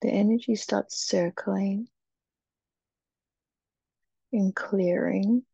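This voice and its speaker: A woman leading a guided meditation in a soft, breathy, soothing voice, with short phrases and long pauses. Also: medium pitch, steady tone, no room sound. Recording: dull, muffled, dry recording.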